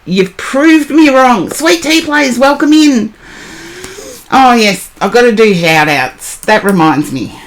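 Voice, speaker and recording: Slow-talking, hoarse woman streamer. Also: on the high side, expressive. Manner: chatty, greets and answers chat by name. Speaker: live-stream tarot reader. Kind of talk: casual live-stream chat.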